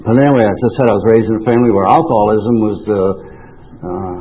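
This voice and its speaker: Low-voiced older New man, tinny recording. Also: quick pace, breathy edge. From New York, United States. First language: French. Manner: rambling, self-interrupting storytelling. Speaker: recovery meeting speaker. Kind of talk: informal personal testimony to a group. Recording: tinny band-limited radio-like sound.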